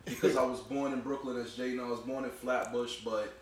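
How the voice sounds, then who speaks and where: A man from Queens, hosting a podcast in an animated, enthusiastic conversational way. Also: mid-range voice, brisk, very echoey, far from the mic, slight background noise. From Queens, New York. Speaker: podcast host. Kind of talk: casual podcast chat.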